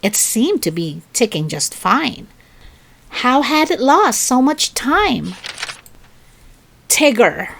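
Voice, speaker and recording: A woman narrating a children's story in an expressive, deliberate read-aloud style. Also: a little high, gravelly.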